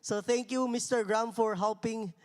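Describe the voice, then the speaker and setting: A man's voice: very high voice, steady tone, moderate pace, clear, resonant tone. Hesitant man announcing in a reverberant church hall. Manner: hesitant, many "uh" fillers. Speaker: church member introducing guests. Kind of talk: casual announcement.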